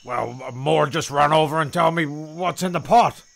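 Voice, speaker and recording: A man's voice: fairly high pitch, normal speed, gravelly, fairly bright tone. A man playing a flustered, exasperated narrator of a comic castaway audio serial, rambling and stumbling over his words.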